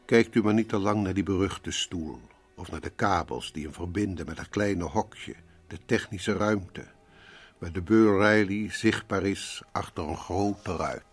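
A sombre male radio play narrator. His low voice addresses the listener directly with grim drama. Soft music sits behind him. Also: a little slow, slightly rough. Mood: grim, hushed.

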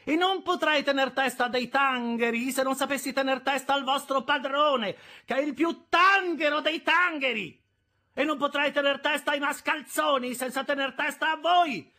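A man's voice: extremely high pitch, steady tone, normal speed, bright, forceful tone, pitched above the performer's own voice. A man voicing a hysterical, scolding married woman in a fury, shrieking with indignation and scorn in an audiobook. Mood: furious. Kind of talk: audiobook character voice.

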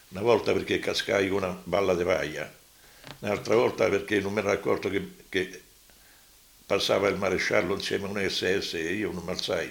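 An elderly man in his eighties with a Roman accent, recounting his memories as a witness, vividly but with halting repetitions. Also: deep voice, gravelly, fairly bright tone, roomy sound. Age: 80s. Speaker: survivor giving testimony. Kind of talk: spoken reminiscence.

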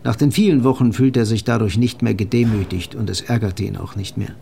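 A man's voice: fairly low pitch, steady tone, brisk, gravelly, mellow, slight background noise. A man reading scripted literary narration as the sober narrator of a crime audio drama. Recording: faint music behind narration.

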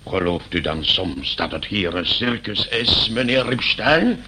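An actor playing a stern, condescending landlord in a radio play, lecturing with pompous mock courtesy. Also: male voice, fairly low pitch, expressive, a little slow, noisy background. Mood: stern, indignant. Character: stern, condescending landlord scolding his eccentric tenant.

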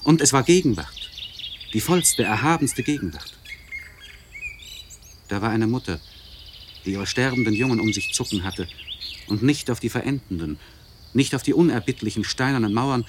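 An actor playing an anguished, articulate nobleman in a literary radio play monologue, speaking in a solemn, searching manner with deliberate phrasing and elevated diction. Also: medium pitch, expressive, noisy background, natural voice. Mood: impassioned. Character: anguished, articulate nobleman confiding by letter to a friend.

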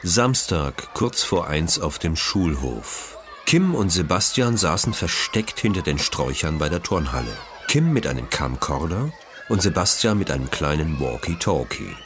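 A man's voice: sits low, sing-song, a little slow, a bit bright. A man reading scripted narration for a children's detective story, as its narrator.

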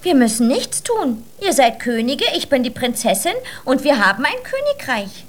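High, bossy little princess. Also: female voice, sing-song. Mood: confident. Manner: commanding, brisk, self-assured. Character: bossy, confident little girl playing princess. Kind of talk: children's radio play acting.

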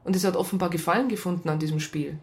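Casting: sober police psychologist, slightly low voice, measured, wry, radio crime play. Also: female voice, a little mellow, husky. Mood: concerned. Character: sober, wryly observant female police psychologist. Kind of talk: radio drama narration and dialogue.

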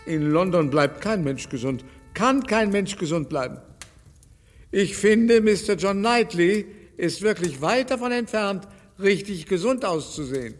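A man's voice: sits high, expressive, a little slow, in a large hall, faint music behind. A fretful elderly father. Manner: anxious, fretful. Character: fussy elderly father fretting over health. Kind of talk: radio drama acting.

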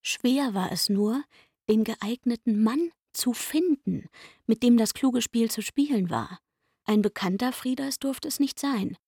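A woman narrating a literary radio drama with a husky, breathy voice and a knowing, catty manner. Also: on the high side, animated, a little slow, a little mellow, no room sound, studio quality, exaggerated voice.

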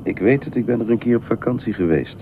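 A man's voice: mid-range voice, fast talker, lightly acted. A man acting in a radio play as a polite, bemused, sensible holidaymaker with a reserved, matter-of-fact manner. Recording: noisy, tinny phone-like radio drama recording.

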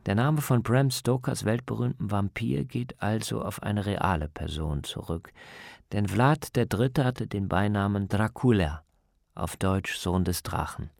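A male documentary reporter with a fairly low voice delivers scripted narration. There is noticeable fry in his tone, and the sound is very dry and close. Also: a little slow, a little mellow, no echo.

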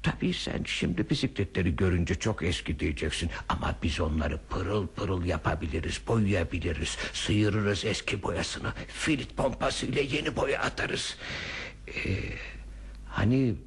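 An actor playing an older man in a radio play, a handy, dreamy enthusiast who coaxes and reassures in a husky voice. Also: deep voice, a little slow, heavily acted. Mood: coaxing. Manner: coaxing, reassuring. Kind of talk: radio theater acting.

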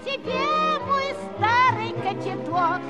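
An actress playing an old Cossack wife, scolding but fond, singing with theatrical teasing in a musical comedy duet. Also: female voice, very high-pitched, animated, slow pace, dull audio, soft background music, heavily acted. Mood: teasing, forgiving. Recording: loud musical accompaniment.

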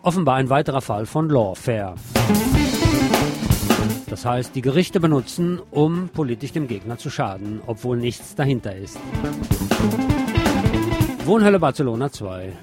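A man's voice: mid-range voice, animated, a little slow, pauses often. A man presenting scripted local news with opinionated commentary, in the role of a radio show host. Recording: music playing behind the voice.

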